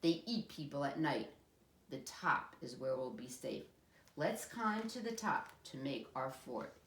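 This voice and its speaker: A woman voicing an eager, imaginative little boy playing pretend in a storybook, excitable, bossy and dramatic. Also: extremely low pitch, unhurried, exaggerated voice. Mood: confident. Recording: echoey room.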